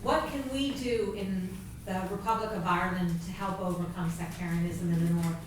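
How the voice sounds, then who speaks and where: American woman in the crowd asking a quick question, far off, echoey noisy hall. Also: on the low side, steady tone. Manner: eager, quick short questions. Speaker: audience member asking a question. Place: large meeting hall. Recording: distant echoey hall, background noise.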